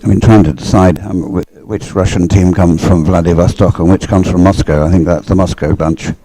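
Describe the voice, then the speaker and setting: A low-pitched male sports commentator talks through live race action conversationally. He explains things as he goes, in short phrases that halt and restart while he thinks aloud. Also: fairly even intonation, moderate pace, dark tone.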